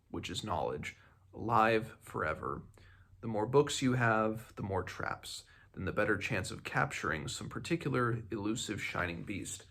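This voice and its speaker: A man reads as a learned doctor from a fantasy novel, low-pitched with a creaky edge. He explains things in a measured, bookish manner, leaning firmly on key words. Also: fairly bright tone, full character voice. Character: learned doctor mentor explaining books as magical traps. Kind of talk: fantasy novel read aloud.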